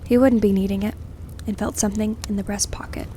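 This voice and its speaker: A woman narrating scripted fiction in an expressive, suspenseful, dramatic way. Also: mid-range voice, quick pace, a little hiss or hum. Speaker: story narrator.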